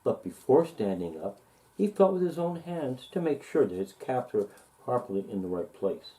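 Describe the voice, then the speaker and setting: Male storybook reader, relaxed mellow tone, animated intonation, deliberate sing-song emphasis. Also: mid-range voice, rough recording. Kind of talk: expressive children's story read-aloud.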